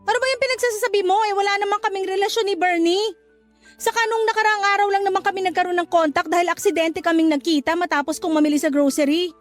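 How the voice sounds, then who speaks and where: Very high-pitched feisty young mother. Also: steady tone, quick pace, soft background music. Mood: indignant. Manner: sassy, outspoken. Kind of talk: Tagalog radio drama acting.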